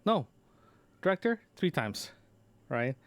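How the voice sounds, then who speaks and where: A man's voice: a little high, sing-song, slow pace, no room sound. A man recording a casual audio diary, talking in a rambling, conversational way and often correcting himself as he goes. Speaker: podcast host.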